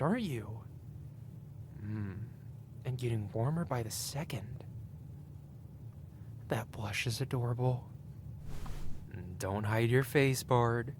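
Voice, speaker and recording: A man's voice: medium pitch, a little hiss or hum. A man playing a wealthy, possessive dragon patron in an intimate ASMR roleplay, speaking in a refined, teasing, purring manner. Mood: teasing, coaxing. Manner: purring, teasing, elegant unhurried phrasing.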